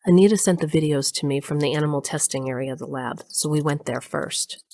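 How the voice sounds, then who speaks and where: A woman's voice: sits low, brisk, a little mellow, no echo. An actress playing a wry, daring woman who narrates her own covert adventure in a conversational audio drama style. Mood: matter-of-fact narration. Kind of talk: audio drama acting with first-person narration.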